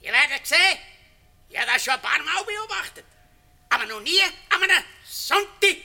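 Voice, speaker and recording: A man's voice: very high-pitched, very animated, moderate pace, vocal fry, sharp tone, heavily acted. A man playing a gleeful old villager prophesying doom in a frenzied, ominous way in a radio play. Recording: long reverb, music behind.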